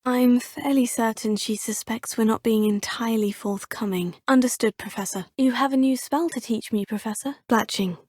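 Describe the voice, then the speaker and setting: Woman voicing a breathy, polite schoolgirl witch. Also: on the high side, moderate pace, hardly any pauses, darkish tone, no echo, clean studio sound, lightly acted. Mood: earnest. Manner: polite and formal, often murmuring to herself. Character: earnest, polite teenage girl witch student. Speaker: player character. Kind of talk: game voice lines.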